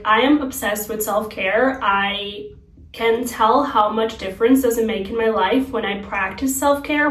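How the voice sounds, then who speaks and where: Higher-voiced young Slovak woman, roomy sound. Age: young adult. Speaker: lifestyle vlogger. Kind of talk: casual chat to viewers. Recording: roomy, distant microphone.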